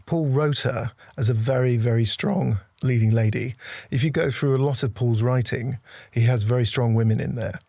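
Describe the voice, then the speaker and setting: A man's voice: medium pitch, steady tone, moderate pace, gravelly, dark tone, no echo. A man giving earnest, emphatic opinions in a documentary interview. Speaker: production team interviewee.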